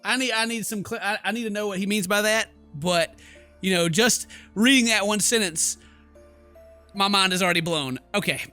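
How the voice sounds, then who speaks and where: A man's voice: sits high, normal speed, bright, forceful tone, faint music behind. A man doing goofy, slangy let's play commentary, reading game text aloud with theatrical exclamations. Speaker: gaming YouTuber.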